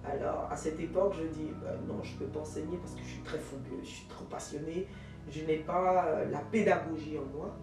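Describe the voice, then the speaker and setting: Animated woman guest, echoey studio. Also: sits low, a little fast, recorded from a distance, over music. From Ivory Coast. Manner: animated storyteller with many fillers and restarts. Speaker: guest, jurist and teacher. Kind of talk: interview answers.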